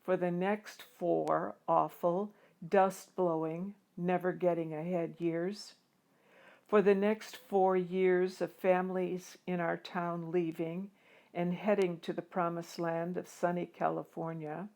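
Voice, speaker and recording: A woman's voice: on the low side, flat delivery, takes it slowly, gravelly, darkish tone. A woman voicing an earnest, determined teenage farm boy who tells his own story in a heartfelt storybook reading. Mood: earnest, troubled. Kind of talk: dramatic first-person storybook reading.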